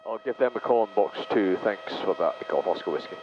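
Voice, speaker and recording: A man, a private pilot, making courteous, measured procedural radio calls on a headset in a small aircraft. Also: fairly low pitch, lively intonation. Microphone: aviation headset. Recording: muffled cockpit audio with engine noise.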